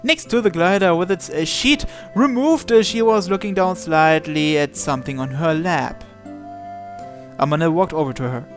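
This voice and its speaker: A game narrator translating and reading visual novel text aloud, with some hesitant pauses. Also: medium pitch. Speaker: video game playthrough narrator. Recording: game music behind the voice. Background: visual novel music and Japanese voice acting.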